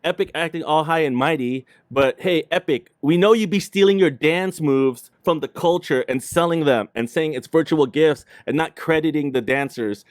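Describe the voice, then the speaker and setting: A man's voice: on the high side, normal speed, hardly any pauses, dry recording. A man hosting a casual livestream chat in an informal, slangy way. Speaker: host of a video game livestream show.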